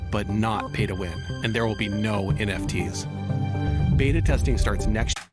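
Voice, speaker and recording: Low-voiced man narrating over loud music. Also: moderate pace. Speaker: game studio developer. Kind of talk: scripted promotional narration.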